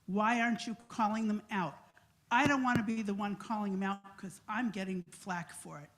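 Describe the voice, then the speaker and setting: A woman pressing a pointed question from the floor at a public forum, forceful and insistent as she repeats her point. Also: medium pitch, fairly even intonation, normal speed. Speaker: chaplain and audience questioner.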